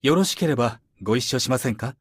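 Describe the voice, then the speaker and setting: A man's voice: mid-range voice, expressive, very fast, husky, no room sound, clean studio sound. A man playing a composed, gracious demon butler in a game, formal, attentive and politely inviting. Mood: gracious, pleased. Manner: formal, courteous, softly inviting. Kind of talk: Japanese game character voice lines.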